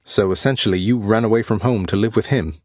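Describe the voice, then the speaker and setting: A man playing an earnest, defiant young prince of the underworld in a video game, speaking in formal, old-fashioned wording with halting, heartfelt delivery. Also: lowish voice, no echo, studio quality, close to an everyday voice. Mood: thoughtful, probing. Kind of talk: video game character dialogue, dramatic acting.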